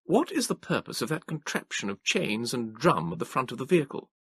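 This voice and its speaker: Excitable Victorian gent, higher and breathier than the narrator, hushed and blunt, as a male reader puts him on. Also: a little fast, slightly rough, dry recording, full character voice. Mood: curious.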